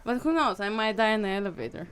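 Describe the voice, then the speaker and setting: A woman's voice: mid-range voice, expressive, fast, a loud crowd. A woman from Bulgaria with a Bulgarian accent, chatting playfully as a podcast guest and sometimes searching for English words. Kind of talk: casual chat, personal storytelling.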